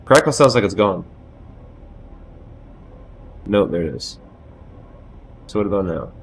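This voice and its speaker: A man in his thirties chatting casually on a live stream from a small room at home, loud-voiced, loose and self-deprecating, with frequent swearing, on a headset mic. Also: low voice, expressive, a little slow, a little hiss or hum, rough recording. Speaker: game streamer.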